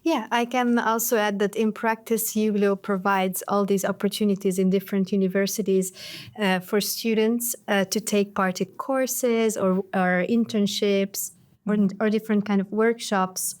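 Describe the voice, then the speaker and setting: A young woman from Hungary, a master's student and podcast guest, explaining ideas in a measured way. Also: mid-range voice, little pitch movement. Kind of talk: interview answers.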